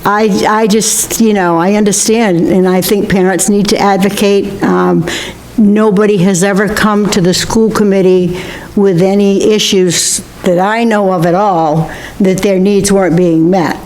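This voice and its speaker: Halting older woman candidate, faint hiss. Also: mid-range voice, steady tone, darkish tone, rough recording. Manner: halting, restarts, clears throat. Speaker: school committee candidate. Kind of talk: candidate forum answer.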